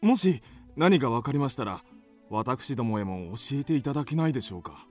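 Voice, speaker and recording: A man's voice: mid-range voice, sing-song, a little fast, a little mellow, soft background music. An actor playing an easygoing husband and father in a radio drama, with a light-hearted manner. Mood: hesitant, polite. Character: easygoing, joking family man, husband and father.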